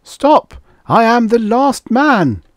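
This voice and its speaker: A man's voice: mid-range voice, lively intonation, measured pace, darkish tone, no room sound, studio quality, pitched above the performer's own voice, natural voice. A man voicing a bossy, visionary primitive man in a storybook, commanding and declamatory. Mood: alarmed, threatening.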